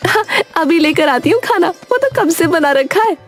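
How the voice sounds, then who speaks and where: A woman's voice: extremely high pitch, expressive, normal speed, faint music behind. A woman playing an exasperated, practical village wife in a cartoon, with a pleading, nagging delivery. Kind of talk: Hindi cartoon dialogue.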